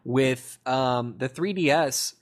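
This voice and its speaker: A man's voice: mid-range voice, takes it slowly. A man co-hosting a podcast, talking through technology in a casual, analytical way. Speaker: podcast co-host.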